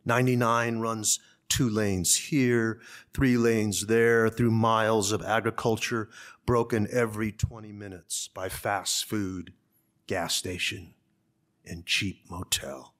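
A man in his sixties from California's Central Valley, an author speaking and reading to the public with measured, deliberate phrasing. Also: fairly low pitch, level tone, fairly bright tone. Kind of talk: public talk and literary reading. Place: conference room. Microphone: gooseneck podium microphone.

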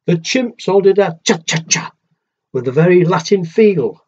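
A man in his sixties reading a children's picture book aloud, expressive and drawn-out, with asides to young listeners. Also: sits high, breathy edge, dull audio. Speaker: storyteller. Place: small wooden shed, indoors.

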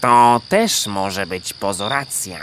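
Proud male gander, children's radio play, condescending drawl, very animated intonation, night insect noise. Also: a bass voice, slow pace, exaggerated voice. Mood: sly, commanding. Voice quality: honking interjections. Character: proud scheming male gander, sly flattering bully. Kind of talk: children's audio drama acting.

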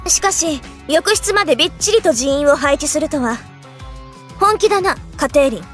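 A woman's voice: high voice, expressive, moderate pace. An actress playing a brash, boastful young military woman in an anime audio drama, blunt and commanding. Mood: wry, confident. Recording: music bed under the voice.

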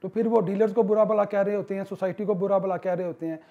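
High-voiced man giving a sales pitch. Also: steady tone, mellow, muffled recording. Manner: persuasive sales pitch, many "uh" pauses. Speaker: real estate consultant. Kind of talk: promotional voice-over.

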